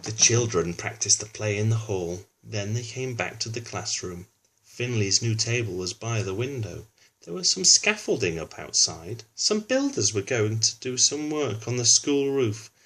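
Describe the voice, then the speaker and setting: A man's voice: mid-range voice, animated, moderate pace, fairly bright tone. A man reading a children's book aloud, narrating with chatty asides addressed to young listeners.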